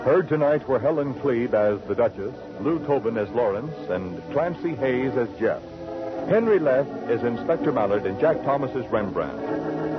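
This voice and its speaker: An American male announcer reads scripted credits in 1940s radio style. His pitch moves in lively swings over clear background music. The old broadcast sounds muffled.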